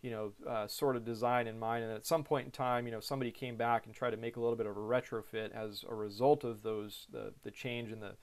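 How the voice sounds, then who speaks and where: A man in his thirties from the United States explains cockpit controls in a relaxed, rambling way, full of fillers and repeated words. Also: medium pitch, fast talker, hardly any pauses. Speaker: pilot instructor. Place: small room indoors.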